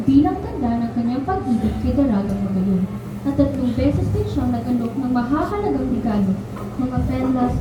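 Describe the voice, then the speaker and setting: A woman reading scripted narration for a radio drama. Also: high-pitched. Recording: very echoey room, distant, clear noise.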